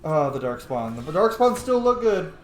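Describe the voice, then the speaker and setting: A man's voice: a little high, big pitch swings, moderate pace. A man giving casual, rambling, opinionated gameplay commentary, with some swearing. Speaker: gaming video host. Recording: roomy echo, faint background noise.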